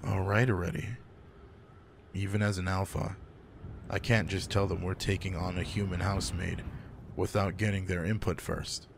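A man playing a gruff but caring werewolf pack alpha in an intimate roleplay audio drama, speaking in a low-key, casual, matter-of-fact way. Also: level tone, normal speed. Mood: relenting. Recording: noticeable background noise.

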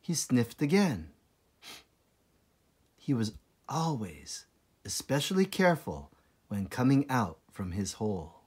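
A man narrating a children's storybook aloud in a dramatic, deliberate manner with suspenseful pauses. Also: mid-range voice, big pitch swings, a little mellow, breathy edge. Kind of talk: expressive children's storybook reading.

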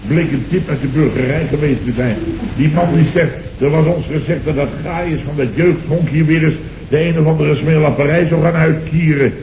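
An actor playing a blustering, indignant boss in a radio comedy, exclaiming and repeating himself. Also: male voice, on the high side, steady tone, fairly quick, darkish tone, lightly acted. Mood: exasperated. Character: blustering, indignant boss recounting his misadventures. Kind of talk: 1970s radio comedy acting. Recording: muffled, noisy old radio recording, roomy.

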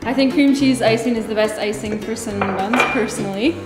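A woman's voice: on the high side, fast. A young woman guiding a baking demonstration in a large home kitchen, explaining each step in an upbeat, instructive way. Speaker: guest baker. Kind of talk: cooking demonstration. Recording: echoey kitchen with background music.

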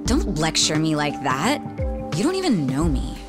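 A woman's voice: low voice, wildly swooping pitch, normal speed. An actress playing a blunt, sarcastic young woman bartender in a video game. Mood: curt, irritated. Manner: brusque, sarcastic. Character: blunt, prickly young female cabaret bartender. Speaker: voice actor in a game. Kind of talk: English video game cutscene dub. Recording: music behind the voice.

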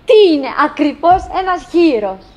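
An actress playing a wistful, lonely, chattering wife in a theatrical radio play, musing in fragments and repeating herself. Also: female voice, very high-pitched, sing-song, darkish tone, highs cut off. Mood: insistent, calling out. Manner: fragmented, repetitive musing with pauses and self-address.

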